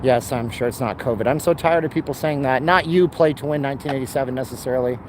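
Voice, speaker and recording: A man chatting casually as he walks and live streams on his phone, rambling and answering chat comments, with a dry throat he keeps clearing. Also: medium pitch, fast. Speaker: vlogger live streaming a city walk. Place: outdoors by a busy boulevard. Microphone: handheld phone. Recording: outdoor phone recording with traffic noise. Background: passing cars and street traffic.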